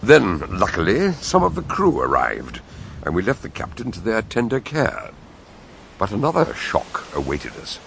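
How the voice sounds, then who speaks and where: An actor playing a measured, understated doctor who narrates a radio mystery and joins the scenes. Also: male voice, lowish voice, huge pitch swings, creaky, fairly bright tone, a little hiss or hum, exaggerated voice. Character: male narrator, a calm doctor detective. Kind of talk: radio drama narration and dialogue.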